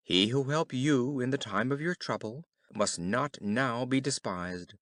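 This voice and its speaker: A man's voice: mid-range voice, fairly bright tone, no room sound, close to an everyday voice. Guy doing a stern king dad from a storybook, firm and commanding, dry sound. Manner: commanding, measured.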